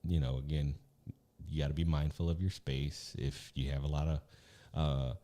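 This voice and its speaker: A man with a naturally deep voice, co-hosting a live podcast and chatting loosely about podcast tips. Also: steady tone, normal speed, warm tone. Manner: loose, chatty, repeats "again" and "right". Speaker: podcast co-host.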